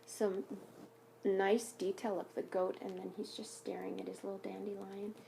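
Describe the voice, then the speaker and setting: A woman reading a picture book aloud to young pupils as a teacher, expressively, pausing to ask questions and explain things simply. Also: medium pitch, flat delivery, vocal fry, dark tone, a little hiss or hum, poor audio. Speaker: elementary school teacher reading aloud to students.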